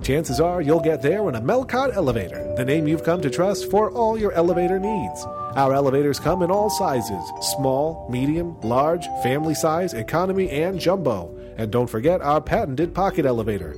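A man playing an earnest, upbeat pitchman in a parody old-time radio commercial, salesmanlike and full of rhetorical questions. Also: expressive, normal speed, over music, natural voice.

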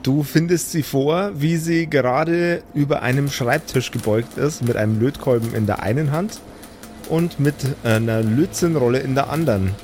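A man's voice: medium pitch, normal speed, a little mellow, soft background music. A man improvising scene narration as a game master.

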